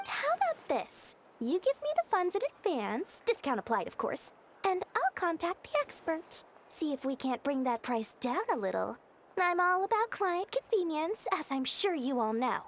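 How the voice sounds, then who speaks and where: A woman's voice: sits high, sing-song, moderate pace, slight background noise. An actress playing a scheming, greedy merchant woman with a wheedling sales patter, for a video game. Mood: salesy. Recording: game music behind the voice.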